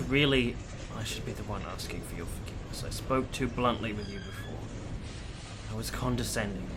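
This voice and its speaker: Man acting a formal, soft-hearted period gentleman. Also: lowish voice, moderate pace, heavy background noise, natural voice. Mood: gentle, apologetic. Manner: blunt, formal phrasing, sighing. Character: exasperated but soft-hearted 1870s gentleman. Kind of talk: period radio drama acting.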